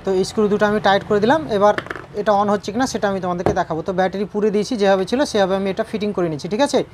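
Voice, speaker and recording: A woman narrates a hands-on tutorial at a very rapid clip. Her pitch sits in the middle for a woman. She repeats her points and checks in often with tag questions, over faint background noise. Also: steady tone. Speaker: repair tutorial presenter.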